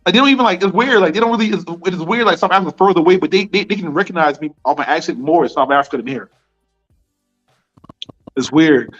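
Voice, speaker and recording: A man's voice: on the high side, fast talker, fairly bright tone. Laid-back American man, slangy and repetitive. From United States. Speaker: interviewee. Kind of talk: casual conversational interview. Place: Nairobi. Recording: dull lo-fi sound with faint music.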